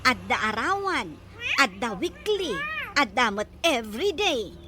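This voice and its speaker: A woman plays a gossipy, suspicious village busybody in a radio drama. Her voice is high and swoops widely, with slow, drawn-out exclamations, over clear background noise. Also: big pitch swings. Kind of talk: Ilocano radio drama acting.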